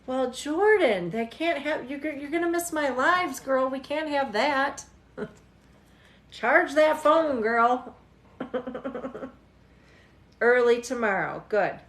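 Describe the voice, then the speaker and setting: Brief: woman in her 40s, a bit high-pitched, teasing livestream tarot host, echoey room. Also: lively intonation, takes it slowly, long pauses, fairly bright tone. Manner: chatty, teasing, laughs often. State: tired. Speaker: tarot reader hosting a livestream. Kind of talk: casual livestream chat with viewers.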